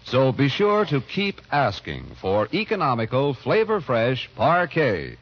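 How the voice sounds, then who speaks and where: A man's voice: mid-range voice, very animated, unhurried. A man working as a radio announcer, delivering scripted narration and advertising copy in a 1940s style. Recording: muffled vintage radio broadcast.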